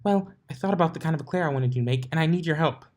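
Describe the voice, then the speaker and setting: A bubbly, hyperactive cartoon pony girl, put on by a man. The voice jumps high above normal speech and runs on eagerly in excitable, rambling sentences. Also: racing, lightly acted. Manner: motormouth, run-on, excitable.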